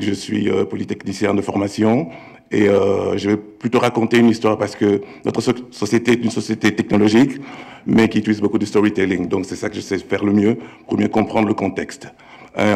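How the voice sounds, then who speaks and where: Creaky, low-voiced man telling a story. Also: a little mellow. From Congo-born, raised in Belgium. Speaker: panelist, tech entrepreneur. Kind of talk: informal storytelling presentation.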